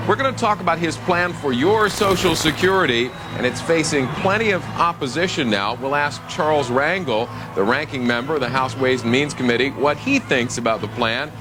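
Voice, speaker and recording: A man reading a broadcast news promo as an anchor, in a scripted announcing style. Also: a little high. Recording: music bed under voice. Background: prominent music.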